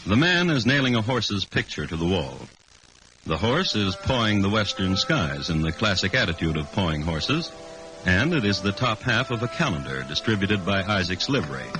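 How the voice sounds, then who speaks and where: Droll, deliberate American crime narrator, low-pitched, vintage radio style, clear music underneath. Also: male voice, sing-song, low-quality recording. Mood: deadpan. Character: dry, deadpan male crime connoisseur narrating murders. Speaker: host and narrator. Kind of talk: 1950s radio scripted narration.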